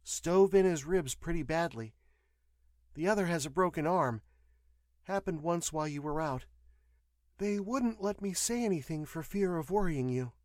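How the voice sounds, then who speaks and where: A man voicing a firm, caring woman nurse in an audio story, explaining and gently admonishing. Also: fairly high pitch, expressive, normal speed, dry recording, studio quality, pitched above the performer's own voice, close to an everyday voice.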